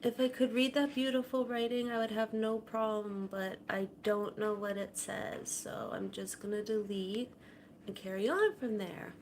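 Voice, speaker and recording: A woman hosting a casual livestream from her home kitchen, talking softly and rambling, her sentences often trailing off quietly. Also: medium pitch, steady tone, moderate pace, slight background noise. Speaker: livestream host. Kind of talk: casual chat to viewers. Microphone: camera on a tripod.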